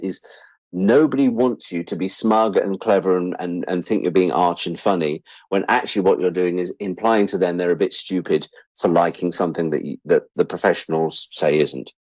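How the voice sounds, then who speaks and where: A man's voice: deep voice, a little mellow, no room sound. An older man with a British accent telling rambling, candid anecdotes as an interview guest, sweary and self-deprecating. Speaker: interview guest, writer-producer.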